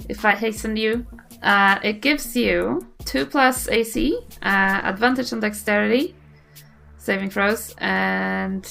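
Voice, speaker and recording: A woman's voice: mid-range voice, vocal fry, fairly bright tone. A woman playing a tabletop game, chatting casually and asking questions as she works out her move.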